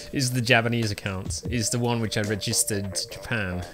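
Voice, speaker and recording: A man's voice: lowish voice, fairly even intonation, moderate pace. A man streaming a video game, chatting casually with viewers and rambling with many ums and uhs. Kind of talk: casual live-stream commentary while gaming. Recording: game music playing behind. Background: game music.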